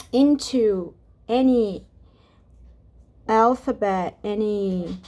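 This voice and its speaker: A woman from Brazil, a native Portuguese speaker, talking in a soft-spoken, rambling vlog, halting with many restarts and fillers. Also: medium pitch. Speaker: ASMR vlogger.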